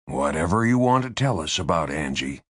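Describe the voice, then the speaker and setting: A male narrator plays an interrogator in an audiobook thriller, a man with strained patience. He is low-voiced and slow. Each word is measured, tight and insistent. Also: sing-song, a bit bright, breathy edge, dry recording, studio quality, full character voice. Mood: tightly controlled. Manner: measured, tight, deliberately enunciated.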